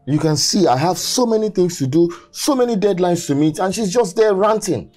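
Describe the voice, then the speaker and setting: A man's voice: sits high, animated, moderate pace, darkish tone, faint music behind. A man playing a cruel, hot-tempered young businessman and abusive husband in a film drama. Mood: defensive. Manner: contemptuous, repeats phrases mockingly, menacing.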